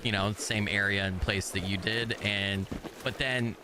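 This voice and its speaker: A man's voice: on the low side, fairly even intonation, unhurried. A man hosting a game stream, chatting casually and rambling with many restarts and fillers. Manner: rambling, hesitant, lots of restarts and "like". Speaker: streamer host. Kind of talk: casual chat while gaming. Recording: noticeable background noise.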